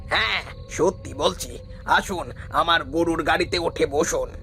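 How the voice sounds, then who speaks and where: Male actor as old ghost carter, cartoon; bright, slightly high voice; slow, quiet menace. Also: faint music behind. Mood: ominous. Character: eerie old male cart driver ghost, calm and knowing. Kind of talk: cartoon voice acting.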